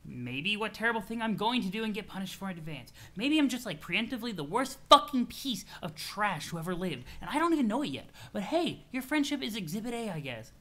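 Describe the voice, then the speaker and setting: A man's voice: high voice, big pitch swings, brisk, hardly any pauses, fairly bright tone. A man voicing an irritable, sweary young troll who rants scornfully in exasperated insults.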